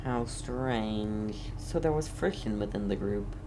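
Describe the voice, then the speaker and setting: Guy streaming a game, slow offhand commentary, mutters and repeats stuff, slight background noise. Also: on the low side. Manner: offhand, playfully repetitive muttering. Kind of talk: casual gameplay commentary.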